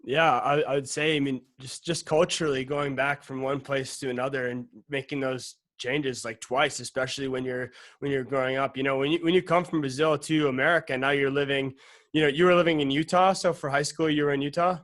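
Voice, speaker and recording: A man's voice: mid-range voice, fairly even intonation, a little fast, a bit bright, no room sound, clean studio sound. A man hosting an interview in a casual, conversational and informal way, talking around his questions wordily. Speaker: interview host.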